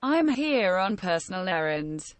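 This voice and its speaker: A woman's voice: on the low side, very animated, measured pace. A woman playing a resolute, blunt adventurer in a fantasy game. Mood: resolute, questioning. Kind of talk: scripted fantasy game dialogue.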